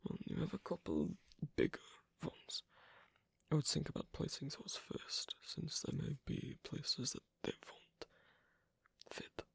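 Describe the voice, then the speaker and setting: A performer voicing an asocial werewolf in an ASMR roleplay, hesitant and gently instructive, in a whispery, breathy, creaky voice. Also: mid-range voice, big pitch swings, unhurried, no echo, heavily acted. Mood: shy, gentle. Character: asocial werewolf on a first date, patiently teaching sewing.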